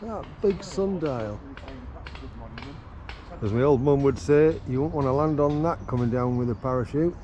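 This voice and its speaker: A man with a gravelly, rough voice, chatting casually and wryly as he vlogs a day trip around a town, cracking small jokes. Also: mid-range voice, moderate pace, long pauses, mellow, muffled recording. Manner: chatty, wry, joking asides. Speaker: travel vlogger. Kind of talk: casual vlog commentary. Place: outdoors in a town by a river and in a vehicle. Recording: outdoor street and traffic noise.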